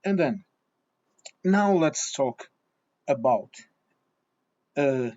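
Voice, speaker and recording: A man's voice: medium pitch, expressive, very slow, darkish tone. Man. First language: Portuguese and German. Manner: hesitant with many restarts, fillers and repeated "okay?". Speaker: vlogger. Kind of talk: opinion talk to camera. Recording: slightly dull lo-fi sound.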